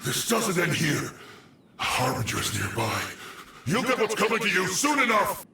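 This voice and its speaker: A man's voice: high voice, wildly swooping pitch, unhurried, gravelly, bright, forceful tone, exaggerated voice. A man voicing a menacing, feverish figure muttering threats in a video game, heard distant and echoing. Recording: very echoey, distant, faint noise.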